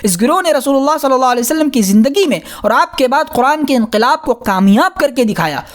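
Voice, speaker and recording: A woman reading a scholarly religious audiobook in a measured, formal manner. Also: sits high, animated. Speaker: audiobook reader. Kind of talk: scripted narration of a scholarly religious book.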